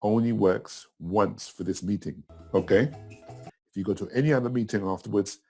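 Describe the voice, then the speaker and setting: A middle-aged man giving a casual step-by-step tutorial in a chatty, simple way, with a husky voice. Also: fairly low pitch, normal speed, darkish tone, faint music behind. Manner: simple, chatty, talking himself through each step. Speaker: tutor in a tutorial video, also acting as the student.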